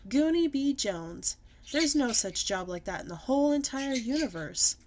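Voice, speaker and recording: A woman's voice: high voice, expressive, moderate pace, darkish tone, slight background noise, voice pushed up. Woman playing a mean taunting little boy. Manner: taunting, singsong.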